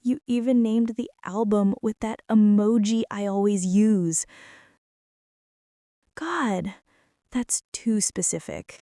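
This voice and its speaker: A woman playing a young former bully in an intimate ASMR roleplay, speaking in a hushed, hesitant way that often slips toward a whisper. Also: medium pitch, slow, pauses often, no room sound, clean studio sound. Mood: disbelieving, softening. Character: young female former bully, stunned, teasing, softening into tenderness.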